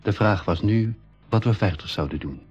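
A dull, muffled old radio recording carries an adventurer telling his tale to youngsters. His male voice is low and husky. He phrases it in a measured storytelling manner. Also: lively intonation, a little mellow, soft background music. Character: adventurer recounting his expedition to young listeners. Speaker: narrator. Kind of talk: scripted narration for a school radio adventure serial.

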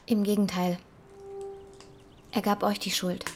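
An actress playing a shaken, accusing young foster daughter in a radio drama, pressing with questions. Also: female voice, mid-range voice, little pitch movement, a little slow, lightly acted. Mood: defensive.

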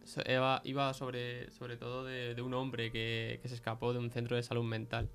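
A man's voice: mid-range voice, monotone, normal speed, gravelly, fairly bright tone, soft background music. A young man with a Spain Spanish accent giving an informal testimony as a guest, hesitant and colloquial, repeating himself. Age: young adult. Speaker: podcast guest, film producer.

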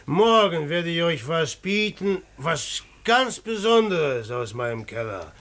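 An actor playing a hearty but scheming male village innkeeper in a German radio play, with deliberate theatrical delivery. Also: on the high side, big pitch swings, fairly bright tone, exaggerated voice. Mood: jovial.

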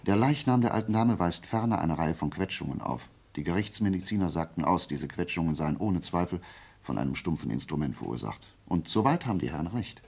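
A self-assured, methodical sleuth in a radio play. His voice is very deep and husky, and it swings widely in pitch. He reasons aloud like a teacher, deliberate and theatrical, nudging with rhetorical questions. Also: expressive, a little mellow, full character voice. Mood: analytical, emphatic. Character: brilliant, methodical male amateur detective, self-assured and theatrical. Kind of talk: German radio play acting.

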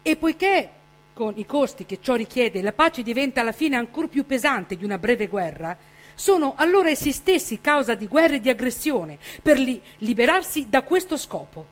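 A woman's voice: sits high, sing-song, normal speed. A woman declaiming a written text as a stage reader.

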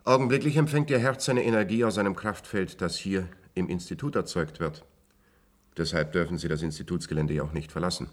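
An actor playing an authoritative institute doctor in a radio drama, precise, cool and matter-of-fact. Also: male voice, low-pitched, fairly quick. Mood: cool, clinical. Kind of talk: German radio play acting.

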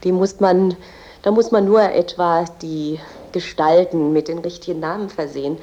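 Mellow, even-toned actress as a woman tour guide. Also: fairly low pitch, highs cut off, lightly acted. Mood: earnest, explanatory. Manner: explanatory, halting with small slips and self-corrections. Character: female museum guide, earnest and reverent about a poet's family. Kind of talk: guided-tour commentary in a radio drama.

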